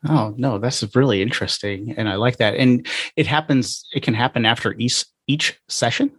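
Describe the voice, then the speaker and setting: A man's voice: medium pitch, moderate pace, fairly bright tone, husky, no room sound. A man hosting a podcast interview in a casual, informal and somewhat hesitant conversational way.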